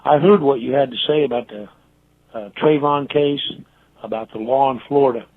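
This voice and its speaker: A tinny, narrow phone line carries an American man leaving a voicemail. He is a caller with a middle-pitched voice, giving informal criticism. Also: normal speed. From United States.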